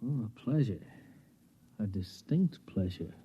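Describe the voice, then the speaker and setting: A man's voice: lowish voice, animated, unhurried, breathy edge, full character voice. A man acting as a jaded, suave, flippant playboy in a 1950s radio drama. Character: jaded, smooth male playboy, flippant and decadent. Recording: muffled vintage radio recording.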